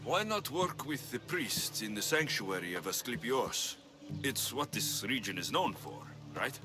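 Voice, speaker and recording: Casting: self-assured mercenary in a game, middle register, expressive range, dry and direct. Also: male voice, mid-range voice, a little slow. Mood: inquiring. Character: confident, wry male ancient Greek mercenary adventurer. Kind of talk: video game dialogue acting. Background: music.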